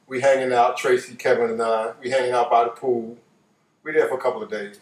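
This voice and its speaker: Casual male storyteller, late fifties, New York accent, animated and repetitive for emphasis, recorded in a roomy living room at some distance. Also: medium pitch, brisk. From New York, United States. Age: late 50s. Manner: animated, repeats phrases for emphasis. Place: living room, seated on a couch.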